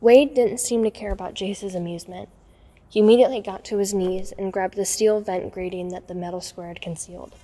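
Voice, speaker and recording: A woman's voice: medium pitch, fairly even intonation, a little fast, dark tone, close to an everyday voice. A woman playing a watchful young woman who narrates a night break-in in a scripted audio drama. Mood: measured, suspenseful. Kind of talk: scripted first-person narration.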